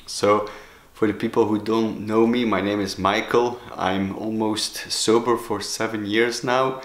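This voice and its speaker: A man from Belgium, a native Dutch speaker with a Belgian Dutch accent, talking to camera as a sobriety coach, halting with many fillers and restarts. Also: fairly low pitch, flat delivery, roomy sound. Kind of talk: solo talking-head vlog.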